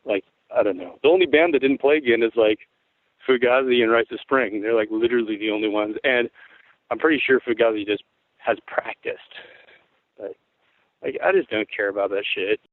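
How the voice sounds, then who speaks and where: Rough-voiced man in his forties, phone-like sound. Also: medium pitch, normal speed, dry recording. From Tacoma, Washington. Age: 40s. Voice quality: rough, worn voice. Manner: rambling, laid-back storytelling with frequent swearing. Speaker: guest musician. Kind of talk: casual interview chat. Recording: tinny phone-like line, dry.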